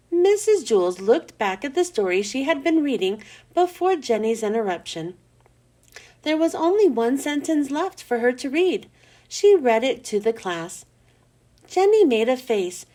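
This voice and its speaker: A woman hosting a children's read-aloud, telling a storybook with expressive, emphatic delivery. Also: high voice.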